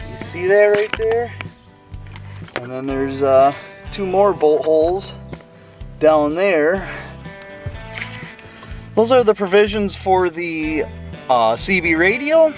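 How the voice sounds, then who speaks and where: Casual man explaining a hands-on car project. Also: a little high. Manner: informal, hands-on explaining, addressing viewers. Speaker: car restoration vlogger. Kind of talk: casual do-it-yourself vlog narration.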